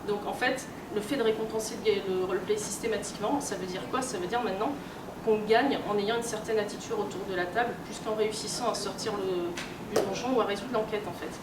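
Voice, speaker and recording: A woman lectures informally at a convention, heard from well away from the microphone in an echoey room. She explains things in everyday language, often hesitating and repeating words as she goes. Loud noise runs behind her voice. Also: medium pitch, brisk. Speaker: guest speaker, researcher and game publisher. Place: room at a convention, recorded from afar. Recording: distant roomy mic, loud background noise. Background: loud ambient noise.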